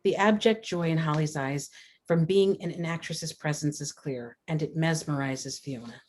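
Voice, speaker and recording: A woman's voice: sits low, moderate pace, a little mellow. A woman reading screenplay stage directions as scripted narration, matter-of-fact in delivery. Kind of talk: scripted narration, table read.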